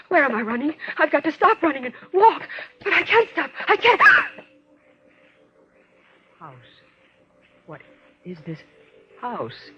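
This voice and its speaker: An actress playing a guilt-ridden, murderous stepmother trapped in a nightmare, melodramatic and halting, in old-time radio horror style. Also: mid-range voice, a bit bright. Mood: dreamy, uneasy. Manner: melodramatic, halting fragments, from whispers to shrieks. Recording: dull, lo-fi old radio recording with noise.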